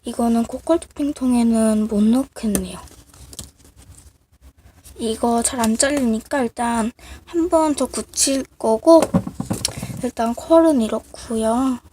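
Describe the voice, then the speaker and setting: A woman with a cold that makes her voice sound off, casually showing handmade crafts in a halting, apologetic, self-deprecating way. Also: a little high, warm tone. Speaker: craft video creator. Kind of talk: casual show-and-tell of handmade clay miniatures. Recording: noisy amateur recording with clicks.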